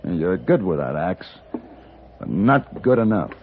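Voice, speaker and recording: Cool dry gunslinger from an old radio western, low voice, swings a lot, muffled sound. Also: male voice, sing-song, heavily acted. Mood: defiant. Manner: dry, deliberate, commanding. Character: cool, unflappable hired gunman of the Old West. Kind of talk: 1950s radio western acting. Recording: dull old radio recording with music.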